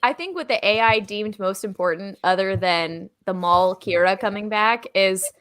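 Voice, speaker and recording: A woman's voice: medium pitch, moderate pace, fairly bright tone, dry recording. A woman talking casually in a group chat.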